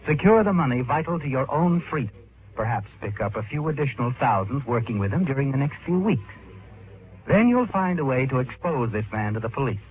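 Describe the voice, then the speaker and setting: An actor playing a knowing, ominous narrator of a suspense tale, deliberate and dramatic in 1940s radio style. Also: male voice, mid-range voice, slight background noise, close to an everyday voice. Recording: tinny old radio recording, music behind.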